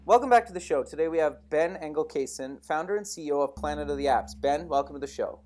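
A man's voice: a little high, quick pace, darkish tone. Chatty male podcast host. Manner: casual, filler-heavy questions, laughs. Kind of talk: interview podcast.

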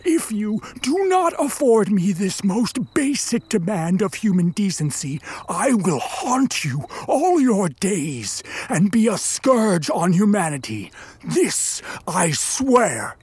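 An actor in a radio play voicing an embittered, vengeful created monster, with a husky voice and grandiose, formal delivery. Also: mid-range voice, very animated, a little mellow, exaggerated voice. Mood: bitter, demanding. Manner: grandiose, theatrical, deliberate delivery. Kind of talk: radio play acting, formal archaic speech.

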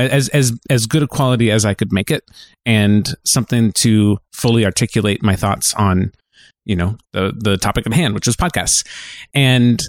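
A man co-hosting a podcast in casual discussion, talking in a halting, reflective way and circling back on his phrases. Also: medium pitch, no room sound, clean studio sound. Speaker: podcast co-host.

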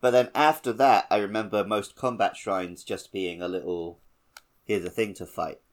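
A man's voice: fairly low pitch, steady tone, moderate pace. A man co-hosting a podcast, talking casually through a video game. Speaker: podcast co-host.

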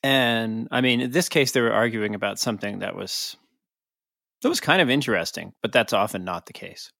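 A man's voice: on the low side, fairly quick, creaky, a bit bright, no echo, clean studio sound. A man co-hosting a podcast in casual, conversational chat. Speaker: podcast co-host.

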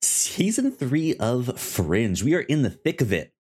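A man's voice: medium pitch, big pitch swings, measured pace, a little mellow, breathy edge. A man co-hosting a podcast in casual conversation, with a stutter that repeats syllables and short words. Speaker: podcast co-host. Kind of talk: casual chat and show recap.

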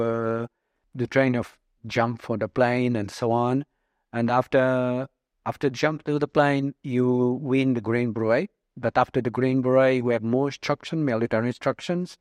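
A man in his early fifties from Portugal, a native Portuguese speaker, answering interview questions in halting English with many pauses and fillers. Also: mid-range voice, darkish tone, no room sound. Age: 50s. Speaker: guest.

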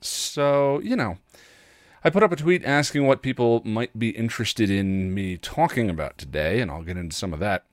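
A man with a cold talking casually and haltingly, with self-deprecating asides, as a solo podcast host. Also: on the low side, expressive, dry recording. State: congested with a cold.

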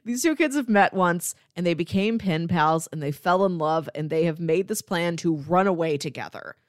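A woman's voice: on the low side, moderate pace, dry recording. Woman podcaster, close dry sound. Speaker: podcast co-host. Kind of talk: casual chat, retelling a film's plot.

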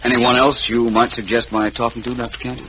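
An actor playing a suave, wry private detective in a 1940s-style radio mystery, polished and drily witty. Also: male voice, mid-range voice, little pitch movement, fairly quick, sharp tone, close to an everyday voice. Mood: inquisitive. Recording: muffled, hissy old radio recording.

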